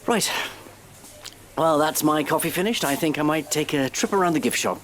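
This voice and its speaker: A politely weary young holidaymaker in a radio play. His pitch moves about a lot as he hedges awkwardly and drily, with clear vehicle noise behind him. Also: expressive, normal speed, a bit bright. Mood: awkward, flustered. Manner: dry, hesitant, awkwardly polite. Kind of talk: audio drama comedy acting.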